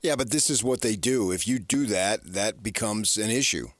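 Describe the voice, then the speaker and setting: An American man with a lowish voice gives sports commentary as a radio co-host. He is measured and earnest, and the close studio sound is dry. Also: dry recording.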